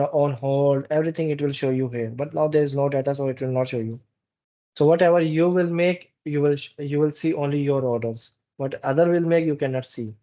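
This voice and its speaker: Higher-pitched man leading software training, muffled sound. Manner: halting, instructive, repeats phrases. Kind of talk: live training walkthrough with screen demonstration. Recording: dull, muffled lo-fi meeting recording.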